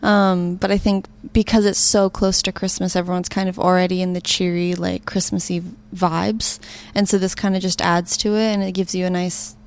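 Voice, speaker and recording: A woman's voice: fairly low pitch, level tone, moderate pace, smooth. A young woman giving casual interview answers, informal and sprinkled with filler words like "kind of". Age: young adult. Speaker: student interviewee, live sound operator.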